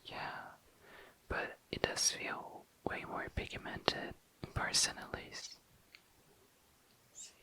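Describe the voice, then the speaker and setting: An ASMR host whispering in a breathy, unvoiced voice, musing casually and tentatively, close to the mic. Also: takes it slowly, long pauses, bright, forceful tone, no echo. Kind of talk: whispered ASMR, casual makeup swatching narration.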